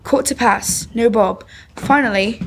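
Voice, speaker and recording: A woman's voice: on the high side, a little slow, vocal fry, a little hiss or hum, rough recording. A young woman narrating a classic story in scripted old-time radio drama style. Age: teenager.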